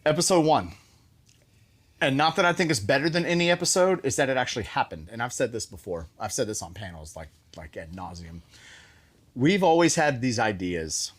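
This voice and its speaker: A man hosting a show, telling casual stories and acting out bits of conversation in an animated way. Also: mid-range voice, brisk. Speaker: show host. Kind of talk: casual group storytelling.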